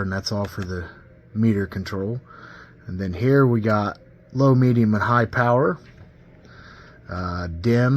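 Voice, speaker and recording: A man's voice: deep voice, slight background noise. A man giving a casual, informal hands-on demonstration of gear, pointing things out step by step. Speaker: CB radio enthusiast demonstrating gear. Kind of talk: casual hands-on product demo. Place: indoors at a radio bench.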